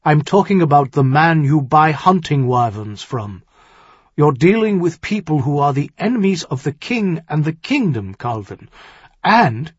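A man's voice: on the high side, very animated, unhurried, slightly rough, no echo, muffled recording, voice pushed up, exaggerated voice. A man voicing an earnest, exasperated older earl and worried father-in-law in an audiobook, urgent and insistent. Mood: grave, urgent. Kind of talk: audiobook character dialogue.

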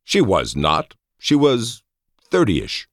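Audiobook role: jovial, theatrical lord, played by a male narrator, raised pitch, lively swings, exclamatory delivery. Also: slow, no echo, studio quality, exaggerated voice. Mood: wounded protest. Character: flamboyant, mock-outraged lord, jovial old friend. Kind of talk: audiobook character voice.

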